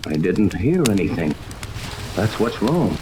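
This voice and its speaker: Commanding captain, low voice, deliberate orders, 1930s radio drama, noisy old broadcast. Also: male voice, big pitch swings. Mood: grave, deliberate. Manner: authoritative, deliberate, gives orders. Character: commanding, level-headed male yacht captain leading an expedition. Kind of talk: 1930s radio serial acting. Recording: noisy 1930s radio, rain ambience over it.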